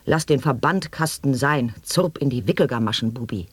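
An actor in a radio play declaiming nonsense sound poetry in slow, halting word-by-word exclamations. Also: male voice, medium pitch, husky, dry recording, close to an everyday voice.

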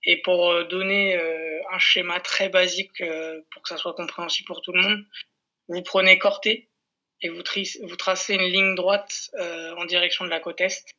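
A man's voice: high voice, flat delivery, moderate pace, bright, forceful tone, dull audio. A man from Corsica, a football coach, explaining things conversationally in a didactic way as an interview guest. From Corsica, France.

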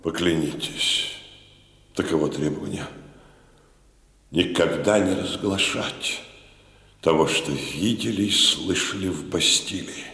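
An actor playing a solemn, dutiful prison commandant in a radio play, speaking in a ceremonious, formal manner. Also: male voice, low voice, slow pace, vocal fry, pauses often, pushed and bright, exaggerated voice. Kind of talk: Russian radio play acting. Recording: echoey long reverb, music behind.

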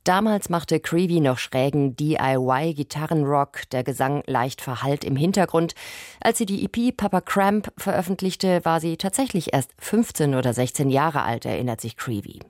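Low-voiced woman narrating, close and dry. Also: lively intonation, moderate pace, a little mellow, no room sound. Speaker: radio narrator presenting a music feature. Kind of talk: scripted narration, music radio feature.

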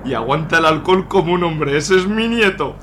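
A man acts as a crude old grandad in a comic radio serial. He is proud and gloating, with a bright, rather high voice over a noisy background. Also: animated, fairly quick. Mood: boastful, jovial. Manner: coarse, swearing, gloating. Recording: noisy recording with ambient sound.

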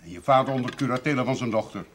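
A man's voice: mid-range voice, racing, close to an everyday voice. An actor playing a proud, stubborn middle-aged brewer and father in a television drama, with a blunt, blustering manner. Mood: irritated. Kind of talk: television period drama acting. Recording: noisy old television soundtrack.

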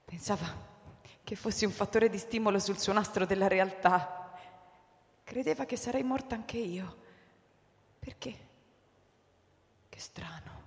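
A woman's voice: medium pitch, a little slow, long pauses, natural voice. An actress playing a distressed, bewildered woman who pleads, in a theatrical radio drama. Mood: stunned, subdued. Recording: reverberant hall sound.

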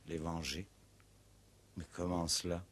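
Casting: probing detective chief, lowish husky voice, courteous and patient, vintage radio police drama. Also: male voice, little pitch movement, a bit bright. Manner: polite, measured, questioning. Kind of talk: 1960s French radio play acting.

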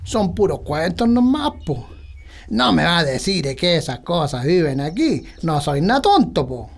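A man's voice: a little high, sing-song, a little slow, creaky, exaggerated voice. A man playing a stubborn, boastful old farmer in a radio drama, with a rural Chilean accent and folksy country speech. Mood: scoffing.

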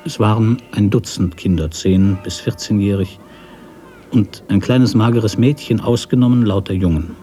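A man with a low-pitched, dark and relaxed voice reads literary prose as a radio drama narrator. His delivery is measured and story-like. Faint music sits behind the voice.

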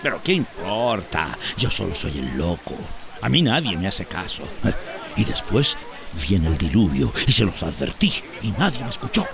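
An actor playing an old Central American village drunk and healer in a radio drama, rambling and repeating himself in self-pity. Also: male voice, deep voice, sing-song, moderate pace, vocal fry, a crowd in the background, exaggerated voice. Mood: self-pitying, giddy. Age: older.

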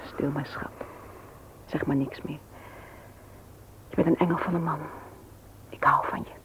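An actress playing an earnest, gently persuasive married woman in a radio play, often hesitant and soft. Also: deep voice, steady tone, unhurried, in a whisper, poor audio. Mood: tender. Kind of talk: Dutch radio play acting.

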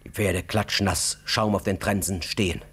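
A man narrating a literary radio drama with tense, deliberate phrasing. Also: low-pitched, steady tone, fairly bright tone, slight background noise.

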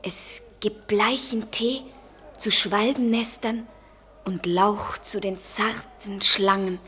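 A woman's voice: medium pitch, fairly even intonation, slow pace, pushed and bright, a little hiss or hum. A woman playing an eager, dreamy student in her twenties in a poetic, theatrical German radio play style. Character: Jennifer, eager, dreamy 23-year-old American student in love.

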